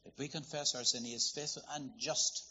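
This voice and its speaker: Bright-voiced preacher. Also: male voice, mid-range voice, a little fast, husky, dull audio. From Northern Ireland. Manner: storytelling, lively, conversational. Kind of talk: sermon.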